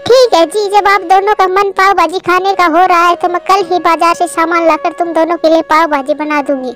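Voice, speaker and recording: A woman's voice: extremely high pitch, fairly even intonation, fairly quick, fairly bright tone, faint music behind. A woman voicing an earnest, caring mother sparrow in a children's moral-story cartoon.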